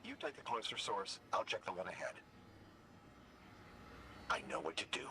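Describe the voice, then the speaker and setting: A devoted machine companion from a video game, earnest and anguished. The voice swings widely in pitch with theatrical feeling, over clear background ambience and noise. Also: male voice, very animated, moderate pace, sharp tone. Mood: anguished, bitter.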